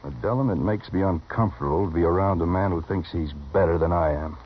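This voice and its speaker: An actor playing a cold, proud western gunslinger with an unhurried, menacing, matter-of-fact manner in a radio drama. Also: male voice, sits low, close to an everyday voice. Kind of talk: old-time radio western acting. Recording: dull, noisy vintage radio recording.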